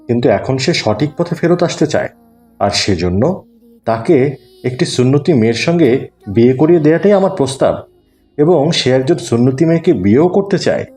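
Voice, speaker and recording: A man's voice: medium pitch, animated, normal speed, warm tone, faint music behind, lightly acted. A man playing an earnest, persuasive middle-aged family friend in a cartoon, speaking courteously. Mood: earnest, reassuring. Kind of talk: cartoon dialogue.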